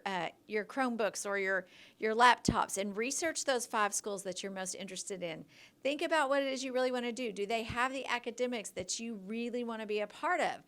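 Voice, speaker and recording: Woman, higher-pitched voice, school counselor, encouraging, gives direct advice, school news segment. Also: fairly bright tone.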